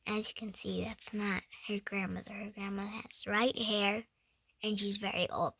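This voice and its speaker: A woman's voice: mid-range voice, little pitch movement, moderate pace, a bit bright, breathy edge, dry recording. A young girl reading a picture book aloud, stumbling over words and correcting herself, with playful asides. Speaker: storybook reader.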